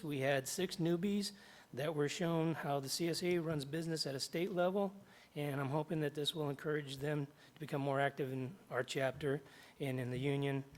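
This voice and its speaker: Middle-aged guy, a bit hoarse, fairly high voice, keeps clearing his throat at a podium mic in a big hall. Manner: reads prepared remarks, frequent throat clearing. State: slightly hoarse after jaw surgery. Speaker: union chapter president. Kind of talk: public comment at a board meeting. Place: large school meeting hall. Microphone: podium microphone.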